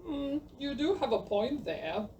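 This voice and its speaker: Woman as hesitant storybook knight, high voice, slow theatrical phrasing, slightly distant mic, audio play. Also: big pitch swings, dark tone, a little hiss or hum. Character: Saint George, hesitant young male knight and dragon slayer.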